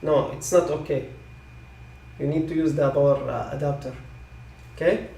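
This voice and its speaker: A man who is a non-native English speaker giving live technical training, repeating his points reassuringly and often checking with a quick okay. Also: a little high, steady tone, vocal fry, warm tone. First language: not English. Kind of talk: live online product training. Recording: echoey room, distant microphone.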